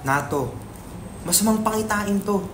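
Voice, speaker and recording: A man's voice: sits high, lively intonation, a little slow, noisy background. A man playing a doubtful, guilt-ridden young writer in a dramatic script reading, reluctant and questioning. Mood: uneasy.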